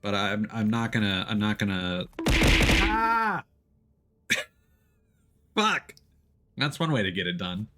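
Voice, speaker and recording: A man chatting casually as he plays a video game on stream, often pausing and restarting mid-thought. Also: on the low side, lively intonation, background noise. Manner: chatty, hesitant mid-thought, playful exclamations. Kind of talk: casual gameplay commentary and chat. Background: faint game music.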